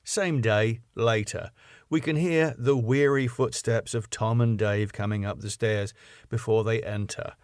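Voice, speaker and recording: A man's voice: lowish voice, fairly even intonation, a little slow, darkish tone, dry recording, clean studio sound. A man reading out scene headings and stage directions from a script as scripted narration. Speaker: writer and script reader.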